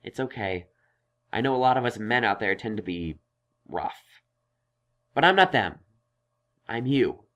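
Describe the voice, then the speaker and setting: A man playing a kindly young male pony scholar in an audio drama, reassuring but self-conscious and halting. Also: on the low side, lively intonation, fairly bright tone. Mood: gentle, reassuring. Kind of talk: audio drama fan fiction reading.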